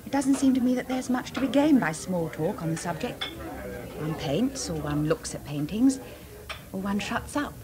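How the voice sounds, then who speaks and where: A woman's voice: mid-range voice, animated, moderate pace, music in the background, close to an everyday voice. An actress playing a frank, slightly self-deprecating young woman painter in a 1980s radio drama. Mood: earnest. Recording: party crowd behind.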